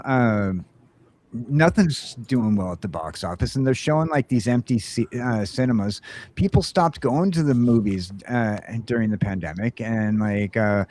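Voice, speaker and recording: A man's voice: mid-range voice, unhurried, a little mellow. A man on a casual podcast chat, rambling and filling his talk with "like" and "uh.". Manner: rambling, lots of "like" and "uh". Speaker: podcast co-host or guest.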